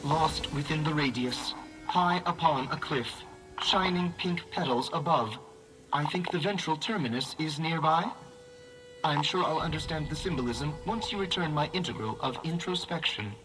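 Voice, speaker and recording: A man's voice: fairly high pitch, normal speed, music in the background, close to an everyday voice, poor audio. A man voicing an ancient, earnest clockwork automaton in a video game, with a grandiose, theatrical manner. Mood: dreamy, proud. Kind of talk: AI-voiced video game dialogue.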